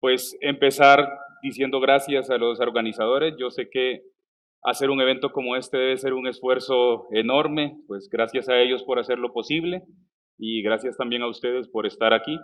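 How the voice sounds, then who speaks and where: A man's voice: medium pitch, fairly even intonation, moderate pace, a bit bright. A man from El Salvador with a Salvadoran Spanish accent mixed with Colombian words, giving a conversational conference talk with frequent fillers and restarts. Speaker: conference speaker. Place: conference stage.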